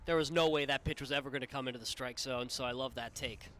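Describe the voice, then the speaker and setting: A man with a medium-pitched voice gives color commentary on a live baseball game. He speaks very rapidly over clear ballpark noise. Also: fairly even intonation, fairly bright tone.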